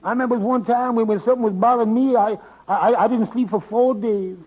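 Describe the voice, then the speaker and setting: A man's voice: very high voice, steady tone, fast talker. A man acting a timid, dim fellow with a heavy comic stammer in a golden age radio sitcom. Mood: muddled. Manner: heavy comic stammer, repeats and loses words. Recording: muffled vintage radio recording.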